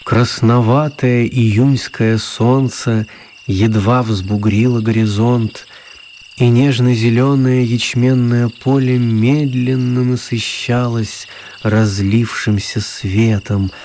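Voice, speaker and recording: An actor playing an author who narrates his children's story in a radio play, mellow and husky. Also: medium pitch, little pitch movement, slow, slight background noise. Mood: storytelling.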